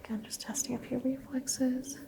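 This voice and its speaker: Soft-whispering woman playing an ASMR doctor. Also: high voice, flat delivery, moderate pace, gravelly. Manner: soft whispering, gentle questions. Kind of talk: ASMR medical exam roleplay.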